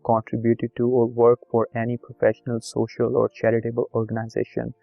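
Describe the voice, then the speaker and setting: A man whose first language is Urdu, calmly walking viewers through an online form step by step and reading each field aloud. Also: mid-range voice, no room sound, muffled recording. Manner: plain instructive, reads form fields aloud, repetitive. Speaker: video tutorial host. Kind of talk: screen-recorded step-by-step walkthrough.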